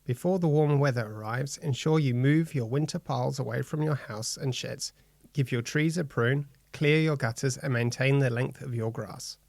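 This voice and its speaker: Australian male reader, creaky mid-pitched voice, dry recording, prepared advisory statement. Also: moderate pace, a little mellow, dry recording. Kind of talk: scripted reading of a public safety statement.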